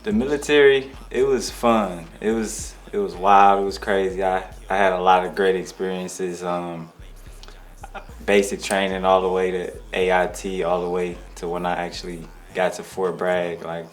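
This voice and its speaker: A young man from Detroit speaks in a very low voice. He rambles in a relaxed, casual way, restarting sentences and dropping slang as a rapper sitting for an interview. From Detroit, USA.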